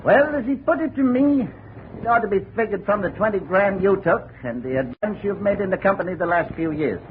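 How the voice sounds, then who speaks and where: A man's voice: high-pitched, lively intonation, a little fast. An actor playing a shady, self-assured private investigator in a 1950s radio detective drama, sly and clipped as he bargains. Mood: cool bargaining. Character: shady male private investigator, coolly baiting a blackmail deal. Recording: narrow, tinny, hissy old radio recording.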